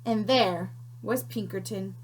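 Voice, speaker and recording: A woman teacher reading a picture book aloud to children, expressive and unhurried, pausing to ask questions. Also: mid-range voice, slight background noise, rough recording.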